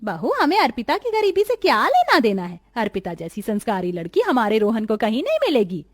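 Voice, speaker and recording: Woman acting animated, high-voiced matronly mother-in-law. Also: a little fast, no room sound. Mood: firm, approving. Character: kindly, authoritative Indian mother-in-law of the household. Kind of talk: cartoon family melodrama dubbing.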